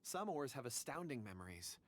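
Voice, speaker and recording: Earnest, melodic-toned specialist. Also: male voice, medium pitch, big pitch swings, fairly quick. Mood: explanatory. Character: gentle, earnest male specialist who reads stones' memories. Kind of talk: video game voice acting.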